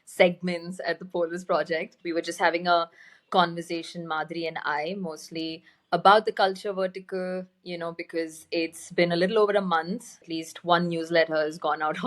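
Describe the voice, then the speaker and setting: A woman hosting an interview in a casual, conversational style, asking informal and loosely phrased questions. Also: fairly low pitch. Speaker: interviewer, host.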